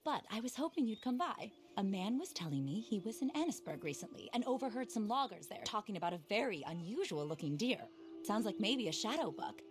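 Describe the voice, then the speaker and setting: A woman's voice: mid-range voice, natural voice. A woman acting an eccentric, passionate, animal-loving naturalist in a video game, theatrical, earnest and a little scattered. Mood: hopeful, earnest. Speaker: quest-giving wildlife specialist in a western game.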